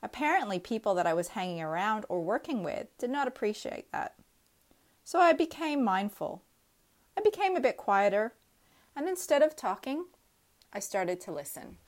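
A woman in her thirties reads a personal essay aloud. Her delivery is earnest and reflective, with measured pacing, and her voice sits at a middle pitch. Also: sing-song. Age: 30s.

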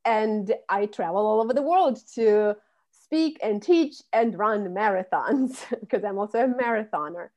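A woman's voice: mid-range voice, lively intonation, measured pace, a little mellow. A woman, a public speaking coach who explains things fluently in an interview. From Russia. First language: Russian. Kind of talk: podcast interview.

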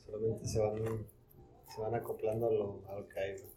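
A man's voice: lowish voice, little pitch movement, brisk, background noise. A man with a Mexican accent hosting a casual podcast, laid-back and peppering everything with slang fillers. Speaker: podcast host. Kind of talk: casual conversation.

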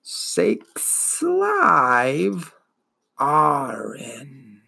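An ancient swamp turtle, female and worn out by endless years, wheezes and gurgles through every word, as a man puts her on. Her speech crawls along, slow and drawn out, and gravelly fry rumbles beneath it. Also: huge pitch swings, pushed and bright, exaggerated voice. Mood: weary, indifferent.

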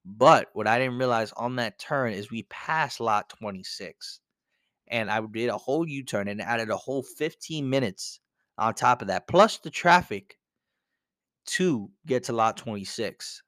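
A man's voice: mid-range voice, expressive, unhurried, fairly bright tone, dry recording, studio quality. A young man hosting a casual solo podcast, telling a story in a rambling, conversational way with lots of "you know". He sounds a bit congested from a cold. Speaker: podcast host.

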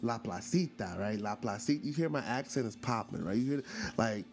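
A man's voice: lowish voice, lively intonation, a little fast, a little mellow, faint music behind. A man in his forties from Brooklyn, hosting a casual storytelling monologue, chatty with lots of fillers and restarts, often turning to ask his listeners questions. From Brooklyn, New York. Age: 40s. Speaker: podcast host.

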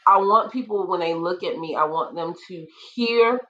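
A woman with a deep voice speaking earnestly and assertively in a casual panel discussion. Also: moderate pace, sharp tone, highs cut off, a little hiss or hum.

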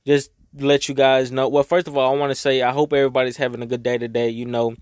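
A young man talking casually and emotionally to his viewers, rambling and swearing, his voice raspy from crying. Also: medium pitch, level tone, fast, a little mellow, dry recording, clean studio sound. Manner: rambling, swearing, heartfelt, Southern US slang. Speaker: vlogger. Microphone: own microphone.

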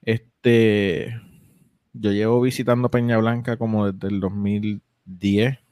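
A man's voice: lowish voice, flat delivery, takes it slowly, a little mellow, smooth, dry recording, studio quality. A young man hosting a casual podcast, rambling with lots of fillers and restarts. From United States. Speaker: podcast host.

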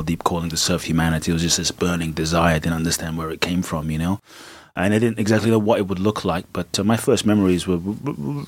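A man from Ghana speaks as a podcast guest with a low voice, earnest and reflective. He tells his stories vividly. The recording is dry. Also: dry recording. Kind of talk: interview storytelling.

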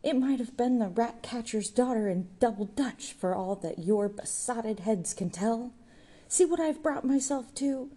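A woman voicing a proud, bitter young workman who declaims loudly and scornfully. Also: fairly low pitch, slow. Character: proud bitter young male stonemason, tipsy.

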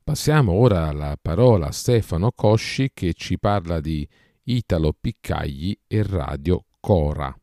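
A man's voice: deep voice, animated, a little slow, dry recording, clean studio sound. A man presenting a podcast with scripted announcements. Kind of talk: scripted intro and outro announcing. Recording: loud music bed under voice. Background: loud instrumental music.

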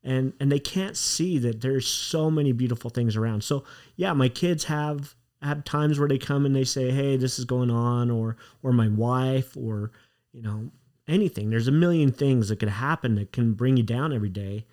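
Podcast host: man, mid-pitched voice, relaxed and encouraging. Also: moderate pace. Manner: relaxed, encouraging, short affirmations. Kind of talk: casual interview chat.

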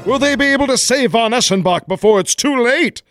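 A man's voice: very high voice, expressive, a little fast, fairly bright tone, no room sound, clean studio sound, soft background music. A man voicing a grandiose, dramatic announcer of a 1940s adventure radio serial.

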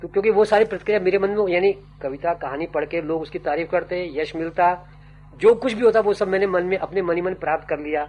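An older man, a writer and poet, giving slow, musing interview answers that trail off into fillers. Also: high voice, darkish tone. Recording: dull old tape with faint hum.

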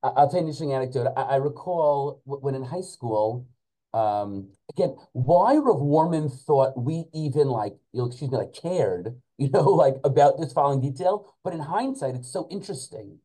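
Halting, mellow-voiced man reminiscing in his forties. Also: medium pitch, expressive, poor audio. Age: 40s. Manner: halting, searching for words, backtracking. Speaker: podcast guest, school principal and rabbi. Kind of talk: reminiscing in an interview, with Hebrew and Yiddish religious terms.

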